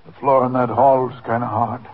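A man's voice: mid-range voice, little pitch movement, a little fast, natural voice. An actor playing a weary, stubborn old man in a 1950s radio drama, plain-spoken and evasive. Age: older. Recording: noisy, tinny 1950s radio recording.

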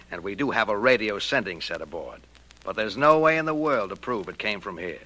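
An actor playing a slick gambling-ship owner, emphatic, evasive and defensive, in 1940s radio crime drama style. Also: male voice, lowish voice, lively intonation, normal speed, bright, forceful tone, poor audio. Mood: guarded, defensive.

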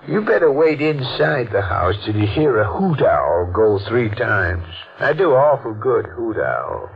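An actor playing a sly, folksy small-town hotel clerk turned blackmailer in a 1940s radio mystery, with a rural American accent and feigned innocence. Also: male voice, medium pitch, very animated, measured pace, gravelly. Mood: sly, insinuating. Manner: drawling folksy speech, sly feigned innocence, chuckling insinuation. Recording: dull, noisy old radio recording.

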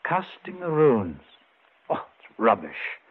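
A man's voice: medium pitch, big pitch swings, takes it slowly, slightly rough, exaggerated voice. An actor playing an earnest man set on avenging his brother, intense and emphatic in 1940s radio drama style. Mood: skeptical, bitter. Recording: muffled, scratchy vintage radio broadcast.

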